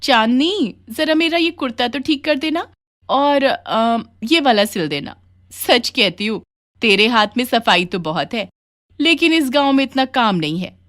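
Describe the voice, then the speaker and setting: A woman's voice: fairly high pitch, expressive, measured pace, fairly bright tone, pitched above the performer's own voice. A woman voicing a frank, encouraging village neighbour in a children's story, talkative and emphatic. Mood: chatty. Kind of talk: acted dialogue in a children's moral story.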